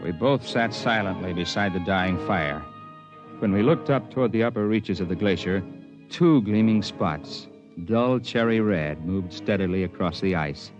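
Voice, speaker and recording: Guy playing a rugged mountain man narrator, dry and plain, muffled old radio recording with music clearly behind. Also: deep voice, normal speed, lightly acted. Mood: reflective narrating. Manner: plain, dry, matter-of-fact storytelling. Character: rugged, plainspoken mountaineer telling his own adventure. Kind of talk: old-time radio narration and dramatic acting.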